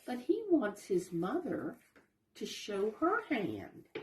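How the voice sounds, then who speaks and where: A woman reading a picture book aloud to young children, explaining the pictures simply and gently as she goes. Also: deep voice, far from the mic. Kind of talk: expressive read-aloud storytelling with asides to young listeners. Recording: roomy echo, voice away from mic.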